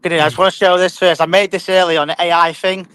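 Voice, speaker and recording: A man's voice: high voice, little pitch movement, racing, fairly bright tone, clean tone, no room sound. A man calling in to a fan show, emphatic, often stumbling and repeating words. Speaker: caller. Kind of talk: casual fan chat.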